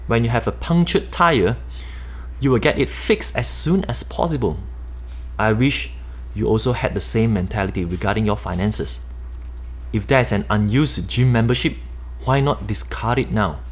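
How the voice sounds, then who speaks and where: A middle-aged man with a Malaysian accent reading his own nonfiction book aloud in a steady, explanatory way. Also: mid-range voice, normal speed. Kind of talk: nonfiction audiobook narration.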